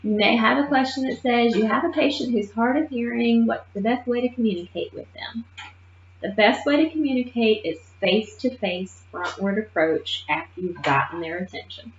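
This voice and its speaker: A woman's voice: on the high side, moderate pace. Woman, nursing assistant instructor, emphatic classroom lecture, keeps checking in with "okay?"; muffled, echoey room sound. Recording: muffled roomy classroom recording.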